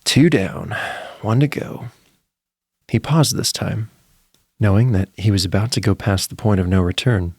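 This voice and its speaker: A man narrating a scripted story in a measured, suspenseful storytelling manner. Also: on the low side, vocal fry, a little mellow, slightly rough, dry recording, studio quality.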